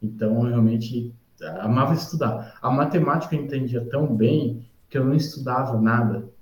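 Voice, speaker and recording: A man, a podcast guest telling stories in a relaxed, rambling way, often tagging sentences with "tá?" and "sabe?". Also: mid-range voice, moderate pace, lo-fi sound. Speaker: podcast guest, civil engineer. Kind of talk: casual storytelling. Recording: roomy echo.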